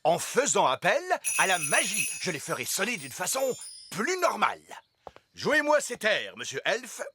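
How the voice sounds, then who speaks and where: A man's voice: high voice, huge pitch swings, moderate pace, bright, forceful tone, a little hiss or hum, full character voice. A man playing a cheerful, self-assured fairy king and father in a French cartoon, breezy and confident. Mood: pleased.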